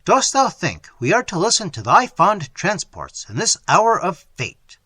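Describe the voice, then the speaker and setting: A male reader voicing an indignant nobleman who rebukes others loudly and scornfully. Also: sits high, huge pitch swings, unhurried, slightly rough, no echo, voice pushed up, exaggerated voice. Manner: loud, rebuking.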